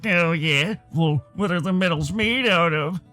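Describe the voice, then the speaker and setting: A man's voice: fairly high pitch, unhurried, pushed and bright, faint music behind. An American man voicing a wary, argumentative furry animal character in a visual novel, with a hesitant manner. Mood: suspicious, worried. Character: wary, suspicious male furry animal friend.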